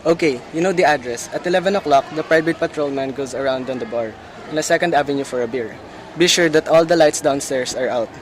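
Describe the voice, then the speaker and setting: A man playing a cold, businesslike criminal boss giving deliberate orders in an amateur radio play. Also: on the high side, background noise, lightly acted. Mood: cold, instructing.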